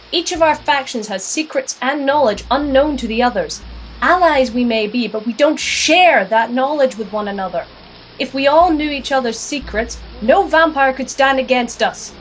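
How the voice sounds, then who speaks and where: A woman's voice: fairly high pitch, normal speed, a little hiss or hum, lightly acted, rough recording. A woman playing a defiant, sardonic alchemist, wry and assertive, in an audio drama with narration. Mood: urgent, defiant. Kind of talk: first-person narration and audio drama acting.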